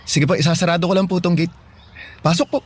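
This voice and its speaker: A man's voice: sits high, steady tone, fast, background noise, natural voice. A man playing a courteous, welcoming young neighbor in a radio drama, with a Filipino accent and a deferential manner. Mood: polite.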